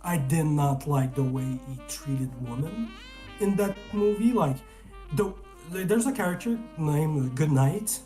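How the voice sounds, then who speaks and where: A man's voice: fairly high pitch, lively intonation, a little slow, warm tone, soft background music. A man from Canada, a guest reviewer in casual film chat, talking animatedly, often restarting sentences and swearing.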